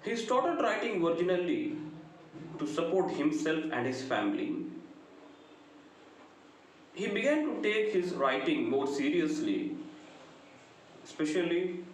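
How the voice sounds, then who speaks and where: A middle-aged man with a South Indian accent gives a prepared literature lecture in deliberate, measured short phrases. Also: on the high side, big pitch swings. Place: indoor room, very reverberant. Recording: very echoey room, noticeable background noise.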